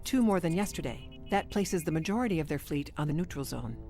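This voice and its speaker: Woman acting a formal, lowish-voiced female starship officer. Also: faint music behind. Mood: formal, concerned. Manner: formal, measured, deadpan. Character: formal, composed alien female starship officer, dryly logical. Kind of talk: science fiction audio drama acting.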